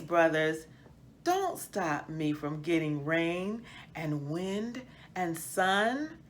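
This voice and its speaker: A woman's voice: medium pitch, a bit bright, heavily acted. A woman voicing a young, joyful and grateful little-sister tree in a children's storybook, speaking emphatically. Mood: pleading, grateful.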